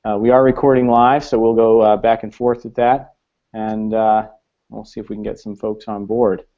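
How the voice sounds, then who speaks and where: A man's voice: lowish voice, flat delivery, moderate pace. A man hosting a live online panel discussion, talking loosely with frequent fillers. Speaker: host. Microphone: video call. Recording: slightly muffled video-call audio.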